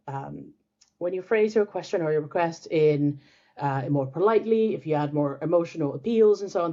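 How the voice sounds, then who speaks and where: Low-voiced Finnish woman, dull sound. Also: warm tone. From Finland. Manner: thoughtful, many restarts and fillers. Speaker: guest expert. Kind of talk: casual video interview.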